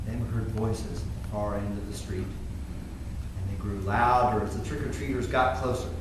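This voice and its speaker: A man's voice: mid-range voice, lightly acted. A man playing a wry, exasperated cat owner, telling a comic first-person story theatrically in a stage read-through. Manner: animated, expressive delivery. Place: indoor theater stage, recorded from afar. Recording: echoey theater, distant mic, faint noise.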